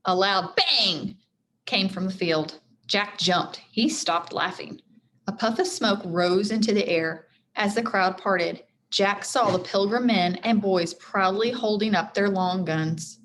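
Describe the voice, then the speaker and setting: A woman reading a children's chapter book aloud in an expressive teacherly style, speaking directly to her young listeners. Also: mid-range voice, slow, slight background noise. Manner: addresses young listeners directly, acts out dialogue.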